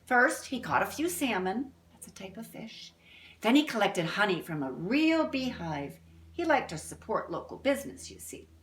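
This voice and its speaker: A woman reading a picture book aloud to young children like a teacher, expressively, pausing to explain things. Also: medium pitch, sing-song. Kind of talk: read-aloud storytelling with asides to children. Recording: roomy indoor echo.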